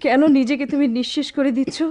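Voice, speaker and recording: Woman acting high-voiced, remorseful pleading lover. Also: flat delivery, fast, darkish tone, lightly acted. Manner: impassioned, repeating, melodramatic pleading. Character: remorseful, pleading woman returning to her beloved. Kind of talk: Bengali radio drama acting.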